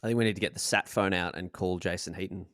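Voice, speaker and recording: A man's voice: sits low, steady tone, fast, a bit bright, no room sound, studio quality. A man co-hosting a podcast, chatting casually and introducing things in a conversational style. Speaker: podcast co-host.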